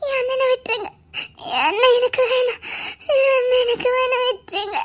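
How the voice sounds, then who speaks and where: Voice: very high voice, normal speed, a bit bright. An actor playing a distressed youngster in a melodrama, pleading tearfully and repeating phrases. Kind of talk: melodramatic acted drama dialogue.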